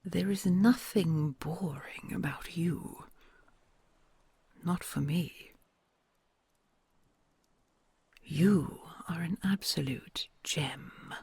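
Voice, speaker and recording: A woman voicing a kind, doting queen dragon, huge and ancient, who tells old legends in a soft hush and gently teases a human she adores. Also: on the low side, slow, creaky, husky, no echo, heavily acted. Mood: tender, teasing. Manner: soft hushed storytelling, affectionate and gently teasing. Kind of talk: ASMR audio roleplay.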